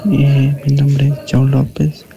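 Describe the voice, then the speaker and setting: Guy calling in, gravelly and flat, thankful, muffled phone line with noise. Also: medium pitch, slow. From Ecuador. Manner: earnest, grateful, drifts into whispers. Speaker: caller giving a testimony.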